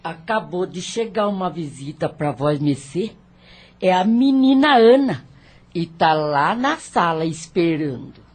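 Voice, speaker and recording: A woman's voice: fairly low pitch, expressive, a little slow, darkish tone, husky. A Brazilian woman playing a humble, deferential enslaved housemaid in an audio drama.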